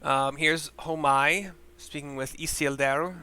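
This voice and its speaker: A man's voice: fairly high pitch, steady tone, slow, sharp tone, a little hiss or hum. A man giving casual commentary on a video game, reading out the story and muttering asides as he goes. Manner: mutters asides and spells out names. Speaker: video game player narrating a playthrough. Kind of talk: casual gameplay commentary with read-aloud narration. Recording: music behind the voice.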